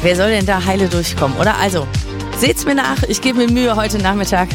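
A woman radio host with a cold, hoarse, chatting casually to listeners in the afternoon show. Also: medium pitch, a little fast. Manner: chatty, direct address to listeners. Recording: music bed behind voice.

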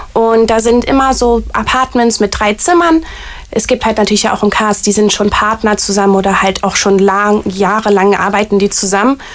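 A woman working as an artist liaison, answering interview questions in a casual, eager way with lots of fillers. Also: mid-range voice. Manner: chatty, eager, frequent fillers like "tatsächlich". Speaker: artist liaison at a variety theater.

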